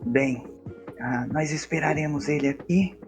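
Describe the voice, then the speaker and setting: A man's voice: on the high side, unhurried, natural voice. A man voicing a small, naive, sweet adventurer who speaks innocently and earnestly in a fantasy roleplay. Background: background music.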